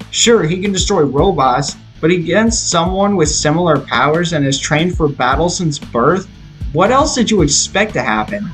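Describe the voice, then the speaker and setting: A man with a slightly high voice narrates a scripted video review of a movie. He is explanatory and opinionated, with music playing behind him.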